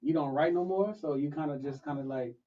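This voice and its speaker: A man hosting a podcast in casual chat, telling animated stories and acting out what people said. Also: on the high side, fairly quick, far from the mic, phone-quality sound. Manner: animated storytelling with acted-out quotes.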